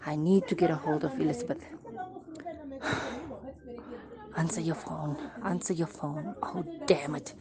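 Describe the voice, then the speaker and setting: An anxious caller, played by a woman, speaks with urgency in a slightly low female voice. She is agitated and repetitive in this audio drama, with clear crowd sound behind her. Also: lively intonation, slow pace, pauses often, heavily acted. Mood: panicked, frustrated. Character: anxious, urgent woman leaving a phone message.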